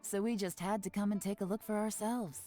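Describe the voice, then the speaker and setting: A woman playing a calm, commanding spy leader in a game, measured and in control. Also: mid-range voice, faint music behind, natural voice. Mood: smooth.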